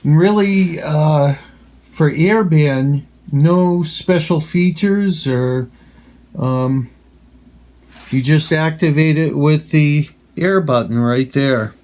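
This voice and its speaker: Slow, deliberate man. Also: fairly high pitch, a little mellow. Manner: measured, deliberate, plain-spoken. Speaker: radio hobbyist reviewer. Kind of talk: casual product demonstration and review.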